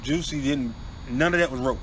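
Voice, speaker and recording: Chill young guy talking rap on a podcast, mid pitch, lots of noise and street traffic behind. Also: moderate pace, a bit bright, rough recording. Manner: laid-back, asks "you feel me?" often. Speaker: podcast co-host. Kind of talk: casual chat about rap.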